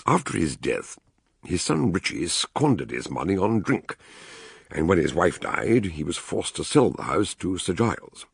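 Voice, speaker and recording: A ponderous older British detective for radio drama. The voice is very low and husky, swinging widely in pitch. He weighs his phrases with dramatic gravity. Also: sing-song, measured pace, no room sound, studio quality, heavily acted. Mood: measured, grave. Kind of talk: radio drama acting, narrated case notes.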